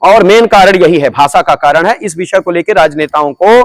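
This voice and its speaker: A man's voice: sits high, moderate pace, no room sound. A man, a religious teacher, answering interview questions in a declamatory, preaching manner and repeating phrases for emphasis. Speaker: religious guru being interviewed.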